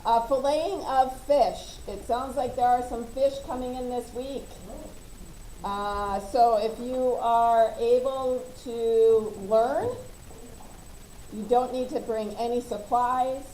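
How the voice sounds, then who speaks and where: A woman with a mellow, warm tone runs through meeting announcements, chatty and loud, with many "um" and "uh" fillers. Her voice rings in a roomy space, with faint noise under the live stream. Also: fairly high pitch, fairly even intonation, slow pace. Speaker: meeting facilitator.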